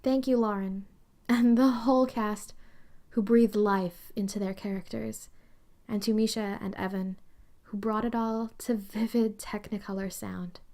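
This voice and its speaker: A woman's voice: medium pitch, steady tone, unhurried, mellow. A woman giving a sincere, prepared-sounding message as a fan. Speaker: podcast fan giving a testimonial.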